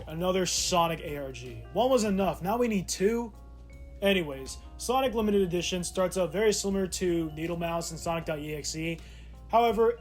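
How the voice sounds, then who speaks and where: A man's voice: high voice, darkish tone. A man giving casual, sarcastic and irreverent commentary as a video essay host, mixing scripted lines with offhand asides. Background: music.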